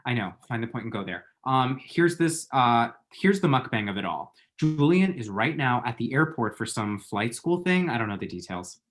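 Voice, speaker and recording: A man's voice: medium pitch, sing-song, moderate pace, hardly any pauses, a bit bright. Man acting a chatty, flippant lovesick young guy. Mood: breezy. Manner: chatty, dry, self-deprecating, pop-culture asides. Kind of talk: screenplay table read.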